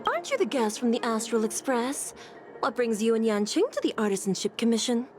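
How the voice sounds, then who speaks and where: A firm, weary port official. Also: female voice, on the high side, sing-song, fairly quick, over music. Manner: firm, exasperated, explanatory.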